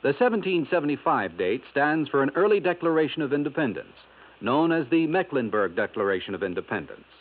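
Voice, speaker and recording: Man, measured educational narration, lively pitch, tinny phone-like old radio. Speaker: announcer. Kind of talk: scripted informational radio segment. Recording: tinny 1950s radio broadcast.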